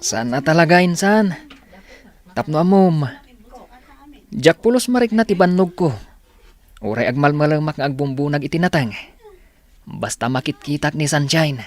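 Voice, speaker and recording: A man's voice: fairly high pitch, animated, normal speed, long pauses, natural voice. A man with an Ilocano accent playing a shy, lovestruck, hardworking village young man in a radio drama, hesitant and stammering when put on the spot. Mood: earnest.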